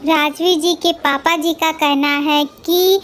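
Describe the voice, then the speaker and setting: A woman vlogger giving informal gossip commentary in a halting, repetitive way. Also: high-pitched, fairly even intonation, a bit bright, slight background noise.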